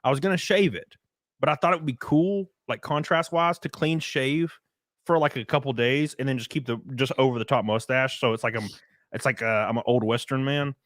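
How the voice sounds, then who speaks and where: A man's voice: mid-range voice, fairly quick, no echo. A man from the American South with a Southern accent, hosting a casual livestream chat and reading viewer comments aloud. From southern United States. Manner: animated, laughing, reads viewer chats aloud. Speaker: podcast host.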